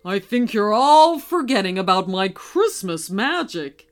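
A woman voicing a kindly but stern old Santa in a children's storybook, with a measured, authoritative manner. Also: medium pitch, sing-song. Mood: reassuring, concerned.

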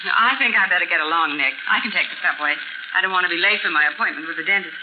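A woman's voice: lowish voice, racing, natural voice. An actress playing a chatty, curious female detective's assistant in a 1940s radio drama. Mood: chatty, polite. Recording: tinny, noisy 1940s radio recording.

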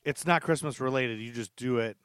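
Informal middle-aged man, mid-pitched, asking questions. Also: fairly quick, a bit bright, clear, resonant tone, no room sound, studio quality. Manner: informal, inquisitive, rambling questions. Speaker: podcast host. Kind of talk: casual chat interviewing old friends.

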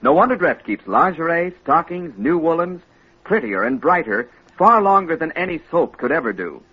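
A man with an American accent giving a scripted 1940s radio advertising pitch as a commercial announcer. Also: sing-song, moderate pace, slightly rough. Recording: muffled old radio recording with music.

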